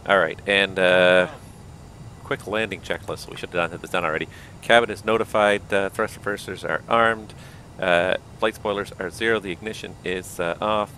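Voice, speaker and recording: Low-voiced man thinking aloud in cockpit. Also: steady tone, moderate pace, bright, forceful tone, slight background noise, rough recording. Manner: thinks aloud, calls out checklists. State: losing his voice. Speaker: flight simulator pilot. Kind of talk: casual cockpit commentary.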